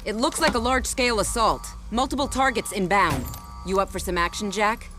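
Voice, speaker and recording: A woman's voice: medium pitch, a little fast, fairly bright tone, lightly acted. Casting: female actor as firm city commander, serious briefing tone, game drama, music underneath. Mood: urgent briefing. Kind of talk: animated video game acting. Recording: music behind the voice.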